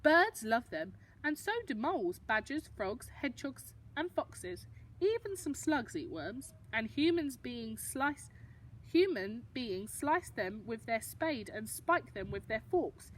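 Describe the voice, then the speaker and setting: A woman's voice: on the high side, very animated, slow, a little hiss or hum, poor audio. A woman voicing a kindly, knowing grandmother from a children's storybook, explaining things patiently. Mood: earnest, explaining. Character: knowing, kindly grandmother who loves worms. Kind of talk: children's storybook character reading.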